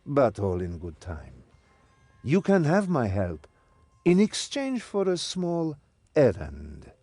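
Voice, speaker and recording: Casting: paternal, cagey desert guide, fantasy game, dark mellow tone, unhurried. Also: male voice, on the high side, wildly swooping pitch, no echo, exaggerated voice. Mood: sly bargaining. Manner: slow, paternal, self-important. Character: shrewd, patronising desert guide, unhurried and mysterious. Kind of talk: fantasy video game voice acting.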